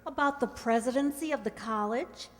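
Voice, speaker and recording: A woman's voice: on the high side, moderate pace, lightly acted. A woman playing a brassy, scheming waitress mistress in a radio mystery drama, with a slangy, emphatic, dramatic delivery. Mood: bitter, determined. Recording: reverberant hall stage recording.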